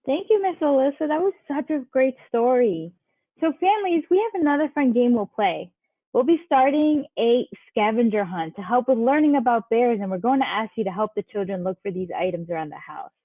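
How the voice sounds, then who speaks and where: A woman's voice: a little high, animated, brisk, slightly rough, no echo. A woman leading a children's activity, giving simple instructions and encouragement to young kids. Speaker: children's game leader. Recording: dull, lo-fi, dry audio.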